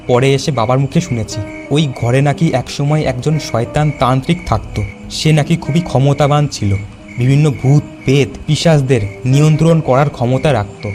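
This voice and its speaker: A man playing a worried, cautious young village schoolteacher in an animated horror story. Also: medium pitch, close to an everyday voice. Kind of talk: acted cartoon dialogue.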